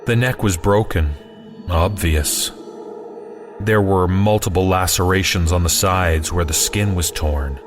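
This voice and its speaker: A man's voice: low-pitched, measured pace, a little hiss or hum. A man narrating a scripted first-person horror story in a conversational way with wry asides. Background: music.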